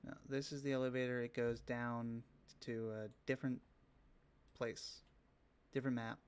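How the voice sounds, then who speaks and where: A man running a tabletop game as game master, explaining the rules and teasing the players in a deadpan way. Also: mid-range voice, clear, resonant tone. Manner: slow, deadpan, dry teasing.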